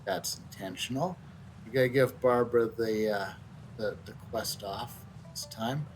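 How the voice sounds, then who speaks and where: A man giving casual, hesitant commentary as he streams a video game. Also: unhurried. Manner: hesitant, low-key. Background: game music.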